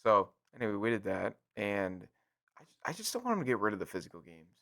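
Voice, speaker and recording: Young male podcast co-host, lowish pitch with a sharp, forward tone; casual, animated chat. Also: brisk, creaky. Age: young adult.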